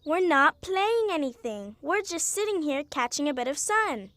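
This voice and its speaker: Stubborn young female cartoon character: high, dry studio sound, matter-of-fact, aloof and dismissive. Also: big pitch swings, moderate pace, a bit bright, dry recording. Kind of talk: animated kids' cartoon dialogue.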